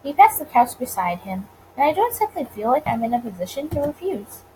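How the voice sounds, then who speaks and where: A woman narrates a visual novel as a let's player in a high, lively voice. She reads expressively and now and then trips over a word, with clear background noise. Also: a little fast, rough recording. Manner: stumbles over words and rereads them. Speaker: video game let's player.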